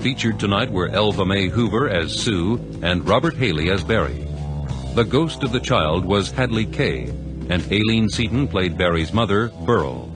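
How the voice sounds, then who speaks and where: A man making a measured, formal scripted radio announcement. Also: on the low side, expressive, music in the background.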